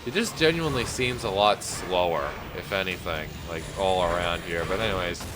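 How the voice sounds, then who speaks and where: A man's voice: low-pitched, moderate pace. A congested man with a mild cold giving rambling, casual commentary while he plays a video game. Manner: rambling, relaxed, lots of fillers. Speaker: gameplay commentator. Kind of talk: casual let's-play commentary. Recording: loud game music behind voice. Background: game music.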